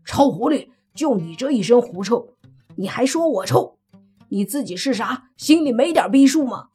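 A woman voicing a crude, hot-headed young man who yells and curses brashly. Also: on the high side, animated, moderate pace, slightly rough, faint music behind, voice pushed up. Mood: furious mocking. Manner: loud, cursing, brash.

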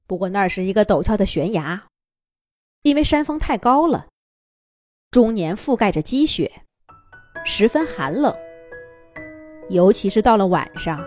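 A woman storyteller reading a children's fairy tale in an expressive, lilting manner. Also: mid-range voice, measured pace, long pauses, soft background music.

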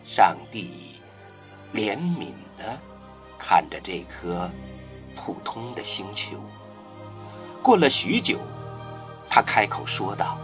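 A man narrating a science-fiction radio drama in a solemn, dramatic manner with weighty pauses. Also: lowish voice, sing-song. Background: prominent music.

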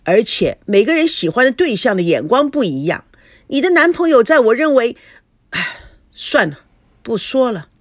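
A feisty young woman in an audio story, played by a woman narrator. She is defiant and exasperated, speaking in a fairly high, animated voice with a dry, close sound. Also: normal speed, dry recording. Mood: indignant. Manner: assertive, exasperated.

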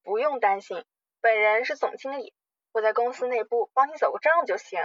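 A woman playing a smooth-talking, scheming loan company manager with polite, formal sales patter in an amateur radio drama. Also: on the high side, fairly quick, a bit bright, dry recording, muffled recording. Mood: persuasive, reassuring.

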